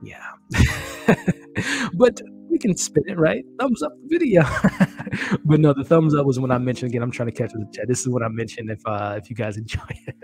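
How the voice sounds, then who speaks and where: A man's voice: mid-range voice, animated, normal speed, long pauses, a little mellow, soft background music. A man in his thirties hosting a casual live stream, chatty and upbeat, laughing often and thanking viewers, close to a desk mic in a small room. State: losing his voice. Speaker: livestream host. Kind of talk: casual live-stream chat reading comments. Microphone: studio mic on desk, close.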